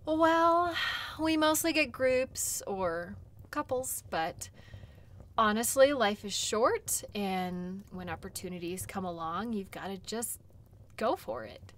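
Woman acting a slow-paced, caring sled guide. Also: mid-range voice, animated. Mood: friendly, encouraging. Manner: gentle, reassuring, conversational with pauses. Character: caring, chatty female dog-sled guide tending wounds. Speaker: audio role-play performer. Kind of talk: intimate audio role play, one-sided conversation.

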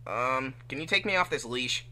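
Young cartoon hedgehog hero, acted by a man: indignant, casual, very sharp tone, animated pitch. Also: normal speed, rough recording. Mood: uneasy. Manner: casual, complaining.

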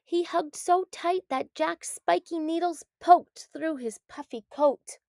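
A woman narrating a children's story in a deliberate, expressive read-aloud style. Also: extremely high pitch, vocal fry, no room sound, clean studio sound.

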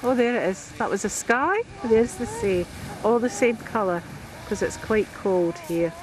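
A woman narrates her family outing as she films it on a handheld camcorder, speaking slowly and chattily. Her voice sits a bit high. Wind and surf noise come through clearly behind her on an outdoor pebble beach. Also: expressive. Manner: chatty, amused, laughing often. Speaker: family member filming. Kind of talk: casual home-video commentary. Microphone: handheld camcorder mic.

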